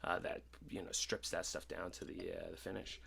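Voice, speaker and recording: A man's voice: sits low, little pitch movement, normal speed, gravelly, pushed and bright, slight background noise. A man co-hosting a podcast in casual chat, rambling and agreeable, with hesitant phrasing and some loose swearing. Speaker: podcast co-host.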